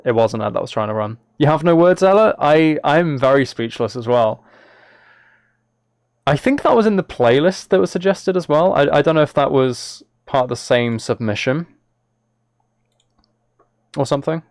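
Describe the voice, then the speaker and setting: A man's voice: a little high, expressive, moderate pace, pauses often, darkish tone, no room sound, clean studio sound. A man live streaming, talking casually and a little hesitantly to his viewers as he reads chat and reacts.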